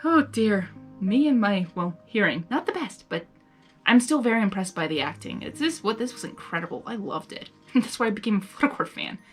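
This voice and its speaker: A woman reading a story aloud with excitable, giggly, rambling casual commentary. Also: mid-range voice, brisk, soft background music. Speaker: host of fan fiction reading videos.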